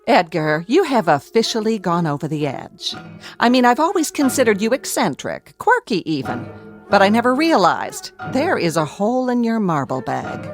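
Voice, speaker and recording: A woman playing a sharp-tongued, domineering wife in a radio drama, bossy, sarcastic and exasperated. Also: mid-range voice, big pitch swings, moderate pace, faint music behind, lightly acted. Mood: scornful.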